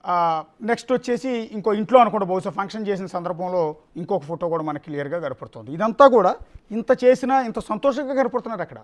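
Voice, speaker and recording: A man's voice: high voice, quick pace. A man anchoring the news, giving emphatic unscripted commentary and repeating phrases for effect. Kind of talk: live news commentary, extempore.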